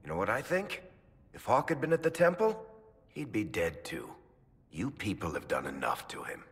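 A raspy, animated sardonic rogue. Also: male voice, lowish voice, moderate pace, faint music behind. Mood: bitter, sardonic. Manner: blunt, sarcastic. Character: defiant, sardonic roguish man, loyal to his friend. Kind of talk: dramatic video game acting. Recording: long hall reverb.

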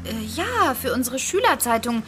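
High-voiced eager teen girl sleuth. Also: sing-song, normal speed, faint music behind. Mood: eager, confident. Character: teenage girl detective, eager, helpful, confident. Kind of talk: audio drama acting.

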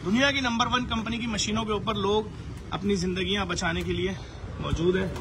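A man from talks straight into a phone held at arm's length, with an urgent, persuasive push. His voice sits a little high for a man. Steady background noise from the street and the ward stays under every word. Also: normal speed. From Pakistan. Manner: urgent, persuasive, piles up lists. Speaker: charity head giving a tour. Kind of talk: walking vlog and donation appeal. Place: outdoors on a city street, then inside a dialysis ward. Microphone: phone camera at arm's length.

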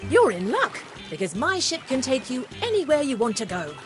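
A cheerful female otter ship captain from a children's cartoon game. The voice swoops up and down at a high pitch, hearty and welcoming, with music playing behind it. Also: huge pitch swings, normal speed. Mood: jolly, hearty.

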